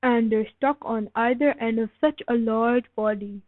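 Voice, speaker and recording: A woman's voice: on the high side, fairly even intonation, normal speed, no echo, lightly acted. A woman voicing a short, fat male python from a children's storybook, giggly and mocking in manner. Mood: teasing. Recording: phone-like thin band, dry.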